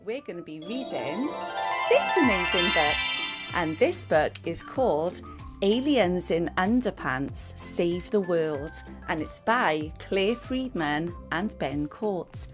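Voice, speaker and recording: A woman reading a picture book aloud to young children, expressive and speaking straight to her listeners. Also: lowish voice, slow pace. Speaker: storyteller and teacher reading to children. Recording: music under the voice. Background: music and sound effects.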